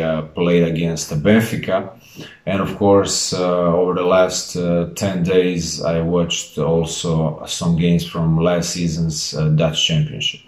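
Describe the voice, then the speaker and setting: A man, a basketball head coach giving a measured, formal introductory address to fans. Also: low voice. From Croatia. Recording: roomy echo.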